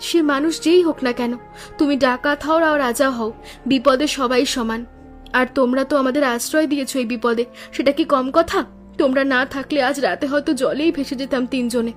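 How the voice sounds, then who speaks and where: A kind village wife from a cartoon folk tale speaks in a clearly high voice, performed by a woman. She is caring, practical and reassuring. Music plays underneath her. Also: brisk, natural voice. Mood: kind, comforting. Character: kind, resourceful village woodcutter's wife, young mother. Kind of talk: Bengali cartoon story voice acting.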